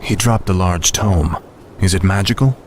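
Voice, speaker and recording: An actor playing a grim, arrogant young death knight prince in a video game cutscene, blunt and haughty. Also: male voice, low-pitched, normal speed, close to an everyday voice. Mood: curt, probing. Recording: noisy game ambience behind voice.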